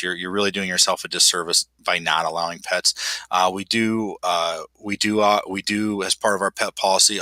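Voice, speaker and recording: A man with a nasal voice talks a client through the steps on screen in a loose, self-deprecating way. He restarts his sentences often and fills the gaps with "uh" and "um." The voice is fairly low but very bright, recorded close on a dry voice-over mic. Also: no room sound. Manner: casual, self-deprecating, many fillers and restarts. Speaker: property manager guiding a client. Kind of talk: screen walkthrough tutorial.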